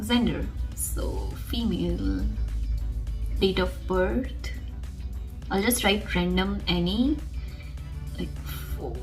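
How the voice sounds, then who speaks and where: A woman's voice: mid-range voice, poor audio. A young woman whose first language is Gujarati talks casually through an online application, explaining each step as she clicks. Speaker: tutorial host. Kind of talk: screen-recorded walkthrough.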